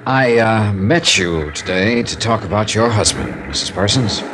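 An actor playing a wry, guarded American insurance investigator in 1950s radio detective style, deadpan and clipped in dialogue and first-person narration. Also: male voice, on the low side, normal speed, heavy background noise, close to an everyday voice. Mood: dry, probing. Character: wry, businesslike male insurance investigator, hard-boiled and guarded. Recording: 1950s radio recording with music behind.

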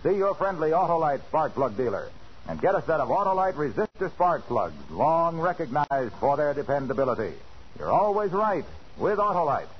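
An actor playing a genial, eager spark plug salesman with a husky voice and folksy patter in a 1940s radio advertisement. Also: a little high, very animated, normal speed. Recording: dull 1940s radio recording.

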